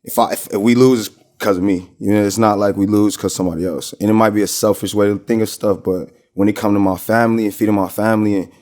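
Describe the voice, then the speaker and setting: Man, American, low voice, podcast guest, casual, slangy storytelling. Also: steady tone, quick pace, hardly any pauses, darkish tone. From Minnesota, United States. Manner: slangy, informal, rambling storytelling. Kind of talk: casual interview chat.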